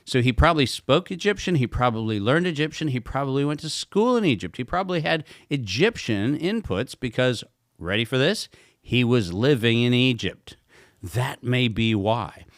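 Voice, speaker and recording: A man's voice: medium pitch, animated, normal speed, dry recording. Emphatic man, dry close sound. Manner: emphatic, rhetorical questions. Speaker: guest expert. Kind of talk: podcast conversation.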